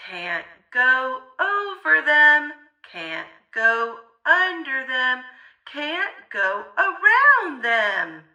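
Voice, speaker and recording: A woman's voice: high voice, very animated, very slow, bright, forceful tone, recorded from a distance, highs cut off. A woman reading a children's story aloud theatrically, in a sing-song, rhythmic way with exaggerated expression.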